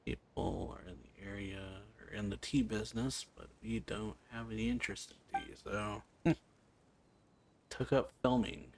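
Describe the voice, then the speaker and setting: A man doing a casual let's play, reading game dialogue aloud in a halting, offhand way. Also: fairly low pitch, vocal fry, slight background noise. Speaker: let's player. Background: game music.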